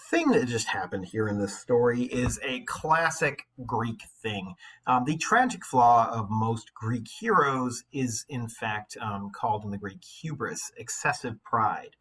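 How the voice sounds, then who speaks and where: A man with a bright, forceful voice and a husky edge, reading and explaining a story like a high school English teacher. His phrasing is dramatic and emphatic, at a medium pitch. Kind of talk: expressive story read-aloud with teaching asides.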